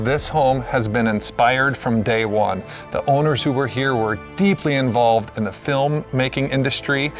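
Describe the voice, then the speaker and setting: A man in his forties giving an upbeat, salesy presentation to camera as a real estate agent. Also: mid-range voice. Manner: enthusiastic, salesy. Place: house porch and empty wood-panelled rooms. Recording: roomy echo, music underneath. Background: background music.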